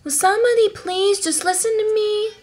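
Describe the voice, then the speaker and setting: A woman's voice: extremely high pitch, fairly even intonation, moderate pace, darkish tone. A woman voicing an eager, stubborn young lion cub princess in an amateur cartoon fandub, with a childlike, whiny manner. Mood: exasperated.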